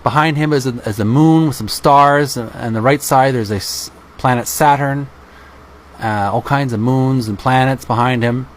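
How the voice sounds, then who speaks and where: A man hosting a channel, reading a book aloud and adding informal descriptive commentary in halting, piecemeal phrases. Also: mid-range voice.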